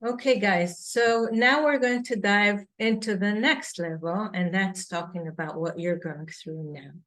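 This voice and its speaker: A woman's voice: mid-range voice, measured pace, a bit bright. A woman moderating a student panel, who hesitates and searches for her words.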